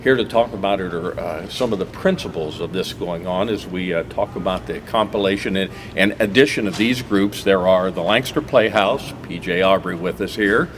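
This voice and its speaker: A middle-aged man hosts a local talk show with a deep, low voice. He chats in a loose, easygoing way, full of fillers and restarts. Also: moderate pace, hardly any pauses, background noise. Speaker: host. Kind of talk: casual local TV chat.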